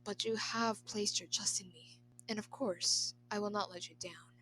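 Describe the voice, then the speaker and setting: Soft-spoken, earnest nobleman, played by a woman. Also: medium pitch, steady tone, normal speed, slight background noise, natural voice, low-quality recording. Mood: compassionate. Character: smooth-talking young nobleman acting a devoted theatrical retainer.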